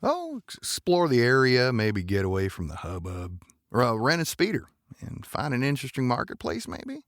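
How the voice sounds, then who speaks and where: An actor playing a courteous, bashful cowboy gentleman in an audio drama, with folksy phrasing and polite hesitations. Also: mid-range voice, wildly swooping pitch, moderate pace, no echo, clean studio sound. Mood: hesitant, friendly.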